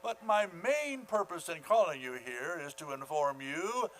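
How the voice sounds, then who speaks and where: A fussy school principal in an old-time radio comedy, played by a man. He is pompous and falsely gracious, talking in formal, halting phrases in a somewhat high voice. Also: lively intonation, fairly bright tone, slight background noise, low-quality recording. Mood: unctuous, martyred. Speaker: actor in a community radio play.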